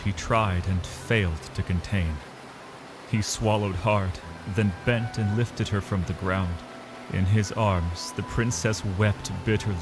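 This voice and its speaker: Low voiced guy narrating a dramatic story, slow n solemn, cinematic music behind. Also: poor audio. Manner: measured, grave, pausing between short phrases.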